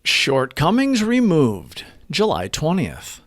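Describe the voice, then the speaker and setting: Expressive man reading a devotional. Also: a little high, takes it slowly, no echo, studio quality. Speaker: narrator reading a daily meditation. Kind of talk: scripted devotional reading and reflection.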